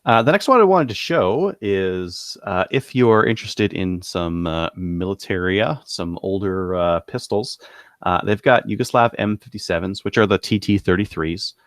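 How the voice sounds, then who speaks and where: Low-voiced man, casual podcast host. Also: dry recording, studio quality. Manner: halting, thinking aloud. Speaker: podcast co-host presenting gun deals. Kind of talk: casual podcast product rundown.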